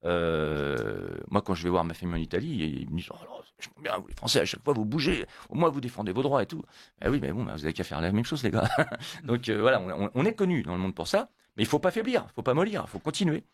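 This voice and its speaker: A man's voice: fairly low pitch, sing-song, fast talker, gravelly, no room sound, studio quality. A man born in Italy, a guest on a radio interview, talking emphatically and repeating words when pressed. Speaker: guest, motorcyclists' federation coordinator.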